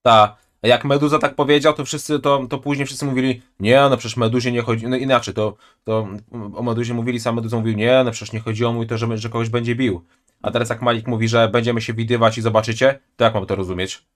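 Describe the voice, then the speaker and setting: A man's voice: mid-range voice, flat delivery, fast. A male streamer comments at home on a video he is watching. He turns sarcastic and keeps stammering and restarting his words. His voice sits in the middle of the male range. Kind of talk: casual reaction commentary.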